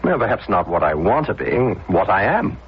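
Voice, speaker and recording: A man's voice: lowish voice, big pitch swings, a little hiss or hum. An actor playing a wistful, timid, lovestruck man in an old-time radio drama, hesitant and awkward in his delivery. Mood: timid, embarrassed. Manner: hesitant, halting, unsure. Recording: muffled old radio recording with music.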